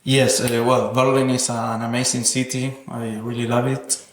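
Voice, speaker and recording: A man's voice: medium pitch, level tone, moderate pace. A man speaking reflectively and earnestly as an interviewed artist, with hesitant, non-native phrasing. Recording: roomy, echoing sound.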